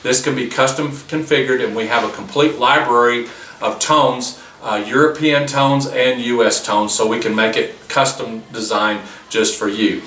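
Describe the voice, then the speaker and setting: A man's voice: mid-range voice, steady tone, normal speed, fairly bright tone. A man giving a plain, step-by-step product demonstration as the presenter. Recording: roomy, distant mic with faint noise.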